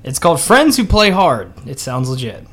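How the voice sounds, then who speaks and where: A man's voice: medium pitch, sing-song, normal speed, a bit bright, a little hiss or hum. A man co-hosting a comedy podcast in a casual, conversational style. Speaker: podcast co-host. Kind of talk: casual comedic podcast talk, reading out ads.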